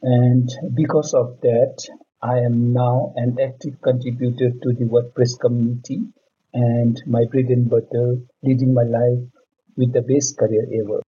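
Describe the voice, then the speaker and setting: A man's voice: mid-range voice, little pitch movement, a little slow, slightly rough. A man from Bhutan, a podcast guest, reading his story steadily. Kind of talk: prepared testimonial. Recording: dull lo-fi mic.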